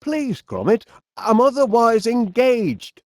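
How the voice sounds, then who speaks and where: A genial, bumbling middle-aged English inventor for a comedy video game. He has a Northern English accent and takes his time over his words, with pitch that swoops about. He is eager yet easily flustered and hesitant, recorded in a very dry studio sound. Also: animated, slow pace, dry recording, full character voice. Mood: flustered, apologetic. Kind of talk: animated comedy game voice acting.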